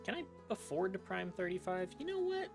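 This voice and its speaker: Male streamer, casual and reflective, animated pitch, faint game music. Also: brisk. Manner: reflective, talks to chat while playing. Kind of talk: casual gameplay stream chat. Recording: game music playing behind.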